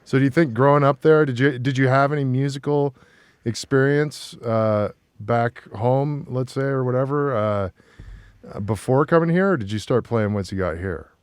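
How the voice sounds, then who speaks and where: North american guy hosting a talk show, casual interview chat, regular pitch, really dry sound. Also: normal speed, smooth, no echo. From Portland, Oregon, United States.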